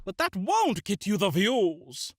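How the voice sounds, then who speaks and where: Bossy, swooping-voiced vlog guru, cartoon, music bed. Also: female voice, medium pitch, sing-song, takes it slowly, dry recording, clean studio sound. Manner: bossy, dramatic. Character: pushy female self-styled vlogging expert. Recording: music underneath.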